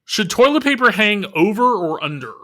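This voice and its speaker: A man hosting a casual interview podcast, relaxed, tossing out quick questions. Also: high voice. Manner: relaxed, tosses quick rapid-fire questions. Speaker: podcast host.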